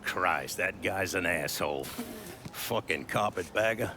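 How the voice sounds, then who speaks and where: An actor playing a gregarious but ruthless older mob boss in a video game, folksy, profane and always selling. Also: male voice, sits low, measured pace, gravelly, noisy background. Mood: irritated. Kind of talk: cinematic video game dialogue. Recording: music behind the voice.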